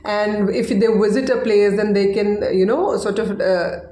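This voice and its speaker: A woman's voice: medium pitch, steady tone, a little slow, mellow. A woman whose first language is Hindi, teaching a casual online English lesson in a chatty way and often checking in with "right?". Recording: echoey room.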